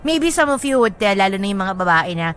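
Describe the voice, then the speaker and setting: A woman's voice: mid-range voice, very animated, fairly quick, a bit bright, a little hiss or hum. A woman hosting a radio advice segment in casual, playful banter, joking and switching between languages. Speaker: radio show host giving advice.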